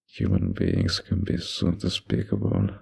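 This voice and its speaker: A man playing a mafia boss in an intimate roleplay audio, menacing yet kindly, with an unhurried, lightly teasing, flirtatious manner and a gravelly, husky voice. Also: a bass voice, very animated, mellow, full character voice. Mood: calm, wry.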